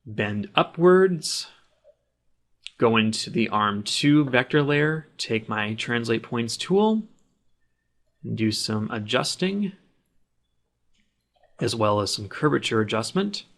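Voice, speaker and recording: A man narrating a software tutorial in a plain, instructional way, explaining each step as he does it. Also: medium pitch, very animated, slow pace, long pauses. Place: voice-over of a screen recording.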